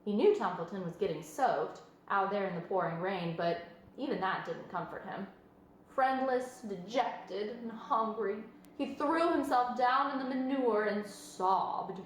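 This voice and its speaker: A woman reading a children's book aloud as an expressive storyteller. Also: medium pitch, a little slow. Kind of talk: expressive scripted narration. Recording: very echoey room with faint noise.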